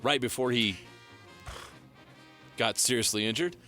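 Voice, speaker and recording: A man's voice: mid-range voice, a little slow, bright, forceful tone, soft background music. An American man co-hosting a sports show, giving blunt, opinionated takes in casual banter. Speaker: sports talk show co-host.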